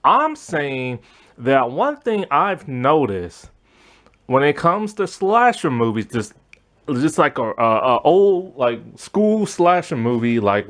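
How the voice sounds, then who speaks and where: A man hosting a casual movie review monologue, his voice strained and going hoarse as he rambles with lots of fillers. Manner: rambling, lots of fillers, animated pitch swings. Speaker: movie review channel host.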